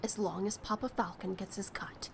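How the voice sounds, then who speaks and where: A woman's voice: medium pitch, fairly even intonation, normal speed, natural voice. Jaded, streetwise woman over noisy ambience acted. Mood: bitter. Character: jaded, streetwise woman bitter about a corrupt city. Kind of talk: audio drama acting. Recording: clearly noisy, ambience behind.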